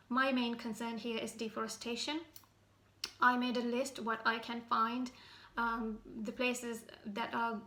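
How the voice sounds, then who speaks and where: Sri lankan lady doing a serious open message, kinda halting, pretty even high-ish voice, roomy echo. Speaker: citizen activist giving an open message. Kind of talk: direct spoken address to camera, semi-prepared. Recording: echoey room sound.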